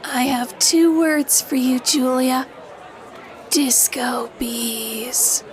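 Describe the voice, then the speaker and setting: Actress as high, very slow, intense superfan. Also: female voice. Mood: dramatic. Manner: slow, dramatic emphasis. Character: intense, devoted female superfan. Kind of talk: audio drama interview. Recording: crowd murmur behind.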